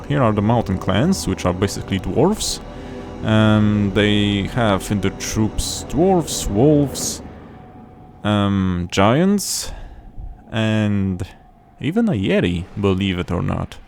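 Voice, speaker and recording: A man's voice: lowish voice, a little mellow. A young man chatting casually as host of a strategy-game playthrough, often restarting his phrases as he explains. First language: Polish. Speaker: gaming channel host. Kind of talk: casual gameplay commentary.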